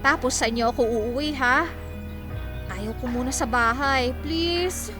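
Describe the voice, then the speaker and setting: A woman's voice: high voice, a little slow. A woman acting a sulky, girlish nineteen-year-old daughter in a Filipino radio drama. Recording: music clearly behind the voice.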